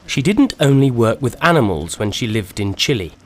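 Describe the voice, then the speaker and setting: A man giving scripted narration for a language-learning documentary, with a lively delivery. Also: medium pitch, normal speed. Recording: narration over clear music.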